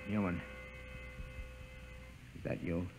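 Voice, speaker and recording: Grimly resigned scientist, halting dramatic delivery, slow, 1950s radio drama, faintly noisy old recording. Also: male voice, low-pitched, fairly even intonation, darkish tone. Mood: weary, fearful. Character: doomed scientist crewman, grimly resigned to mankind's end.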